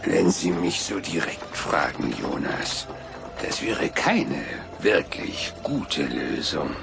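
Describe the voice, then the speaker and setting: An actor playing a smug, treacherous show boss in a radio drama, theatrical and mockingly polite, gleefully cruel. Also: male voice, low-pitched, very animated, slow, creaky, over music, exaggerated voice. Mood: menacing glee. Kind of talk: German radio drama acting.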